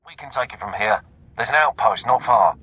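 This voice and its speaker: A man's voice: medium pitch, lively intonation, fairly quick, very noisy, natural voice. A man playing a stern, protective military commander in a video game, curt in delivery. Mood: stern, dutiful.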